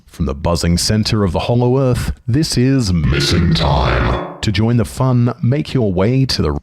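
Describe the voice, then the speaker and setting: A man voicing a demonic announcer for a scripted radio show intro, with layered pitched-down effects and some reverb. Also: very animated, unhurried, darkish tone, full character voice. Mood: ominous, theatrical. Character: demonic male announcer from the hollow earth.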